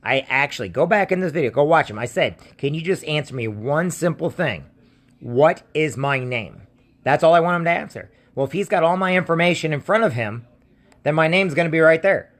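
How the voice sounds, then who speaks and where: A man's voice: fairly high pitch, lively intonation, fairly quick, a bit bright. An American man talking casually in a scam-warning video as an identity theft protection seller, insistent, plain-spoken and given to repeating himself. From United States. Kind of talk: casual warning talk to viewers and on phone.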